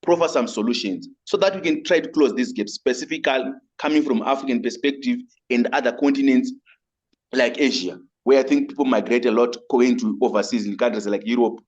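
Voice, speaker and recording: A man with a Zimbabwean accent speaking up as a migrant rights advocate in a webinar. He is earnest and halting, and often repeats and rephrases himself as he goes. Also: medium pitch. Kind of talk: webinar audience comment.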